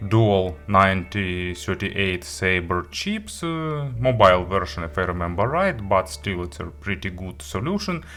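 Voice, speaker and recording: A man presenting a product review as host, talking through it in a halting, hesitant way with frequent pauses. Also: lowish voice, fairly bright tone.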